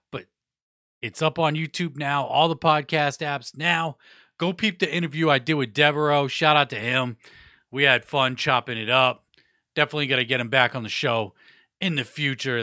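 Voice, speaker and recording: A man's voice: mid-range voice, dry recording, clean studio sound. A young adult man hosting a casual hip hop podcast, talking loosely with slang and cursing, his nose stuffed up. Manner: loose, profane, slangy talk with shout-outs. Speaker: podcast host.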